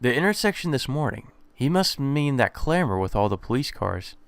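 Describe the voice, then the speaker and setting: A man's voice: medium pitch, big pitch swings, normal speed, vocal fry, smooth, no room sound. A man reading a visual novel aloud as a let's player, narrating the text and sometimes correcting himself as he goes. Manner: stumbles over lines and corrects himself. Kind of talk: read-aloud narration and dialogue. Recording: background music under the voice. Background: game music.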